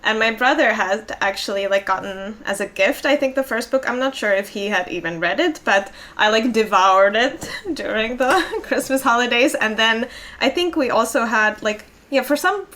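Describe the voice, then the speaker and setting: A woman's voice: fairly high pitch, expressive, normal speed, a bit bright. A young adult woman, a native Finnish speaker, chatting casually on a podcast, filling her talk with "like" and little laughs. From Finland. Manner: chatty, many likes and laughs. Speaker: podcast co-host. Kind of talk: casual chat.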